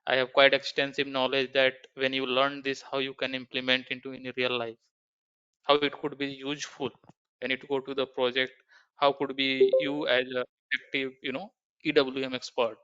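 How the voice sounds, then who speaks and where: A man runs an online training call. His voice is bright and ringing, and it comes through crisp, though the call audio dulls it. He talks haltingly and hands the floor to each student in turn. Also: medium pitch, completely flat delivery. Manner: halting, many fillers, hands turns to students. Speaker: SAP trainer. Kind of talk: online class introduction. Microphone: video call. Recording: dull, muffled video call audio.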